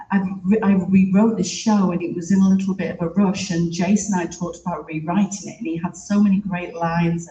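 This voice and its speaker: A man with a British accent giving a halting, reflective tribute on a theater stage. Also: sits high, slight background noise. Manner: halting, warm and reflective, with soft laughs. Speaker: eulogy speaker. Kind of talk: heartfelt spoken tribute. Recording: echoey room from a distance.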